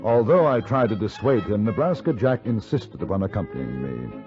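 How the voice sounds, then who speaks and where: An actor playing a courteous, educated English gentleman reporter, refined and polite, with an English accent, in 1950s radio Western style. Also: fairly low pitch, very animated, normal speed. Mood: wry. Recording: muffled 1950s radio recording, music behind.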